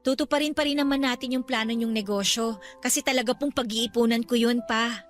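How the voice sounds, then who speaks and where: An actress playing a devoted young adult Filipino daughter, gentle, respectful and reassuring, in a radio drama. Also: female voice, on the high side, fairly even intonation, brisk, faint music behind, close to an everyday voice. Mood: loving, reassuring. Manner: caring, respectful. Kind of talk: Tagalog radio drama acting.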